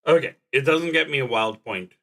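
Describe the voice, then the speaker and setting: A man streaming a solo tabletop roleplaying game, thinking aloud in improvised commentary with a hesitant, halting delivery that trails off mid-thought. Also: medium pitch, animated, creaky, a bit bright.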